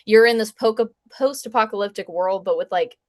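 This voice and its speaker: Animated woman telling stories. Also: mid-range voice, brisk. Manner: animated storyteller, laughing between phrases. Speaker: guest author and game designer. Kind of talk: casual interview chat.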